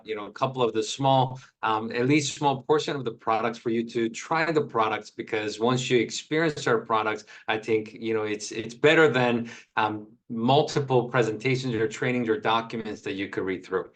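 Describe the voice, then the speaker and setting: A man speaks earnestly and warmly, pausing on fillers as he presents to a live call audience. His pitch is mid-range, and the sound comes through a video call. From Korea. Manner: earnest, friendly, many fillers. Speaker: sales executive.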